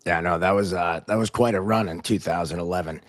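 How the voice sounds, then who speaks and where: A man's voice: deep voice, quick pace. A man hosting a podcast in a casual, conversational interviewing style. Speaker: podcast host, former referee.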